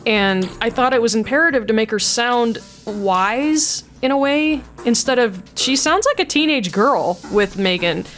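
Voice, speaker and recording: A woman's voice: a little high, animated, a little slow, fairly bright tone, soft background music. A woman casually talking through her voice mod work, chatty and blunt, laughing often. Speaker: voice mod creator. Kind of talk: casual commentary.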